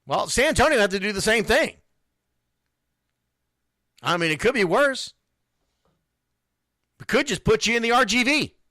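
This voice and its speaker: A man's voice: high voice, lively intonation, moderate pace, pauses often, sharp tone, no room sound, clean studio sound. A man hosting an informal youth sports radio show, talking bluntly and emphatically and repeating phrases for stress. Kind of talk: informal sports talk monologue.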